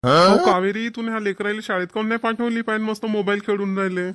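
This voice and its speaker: Man as high-pitched broke village husband. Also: fairly even intonation, fast talker, fairly bright tone, clear, resonant tone, no echo. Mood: scolding. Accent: Marathi, Vidarbha. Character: broke village husband and father, scolding yet coaxing. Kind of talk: cartoon comedy dialogue.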